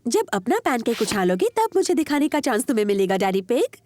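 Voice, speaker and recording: A woman voicing a cheerful, patient mother pig in a children's cartoon, with an expressive, motherly manner and a gently teasing streak. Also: a little high, a little fast.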